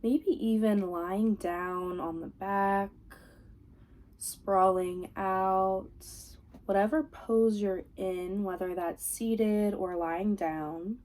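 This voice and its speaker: A woman leading a guided meditation as a teacher, giving gentle, soothing, unhurried instructions. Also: mid-range voice. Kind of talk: guided body scan meditation.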